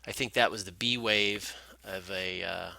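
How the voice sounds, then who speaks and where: A man's voice: fairly low pitch, steady tone, measured pace, creaky, bright, forceful tone, no echo. A man giving informal, explanatory screen-share commentary as a market analyst, talking through charts step by step.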